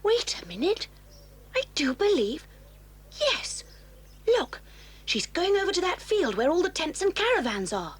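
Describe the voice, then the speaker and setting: A woman's voice: very high voice, big pitch swings, unhurried, pauses often, heavily acted. A woman playing a snobbish, sly schoolgirl with a prim, haughty manner in a children's audio drama. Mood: nosy disapproval.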